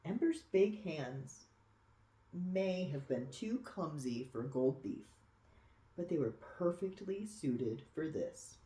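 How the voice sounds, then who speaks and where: A storyteller reading a picture book aloud expressively for children, with dramatic pauses and cooing asides. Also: medium pitch, lively intonation, mellow. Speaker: storybook reader. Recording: echoey room.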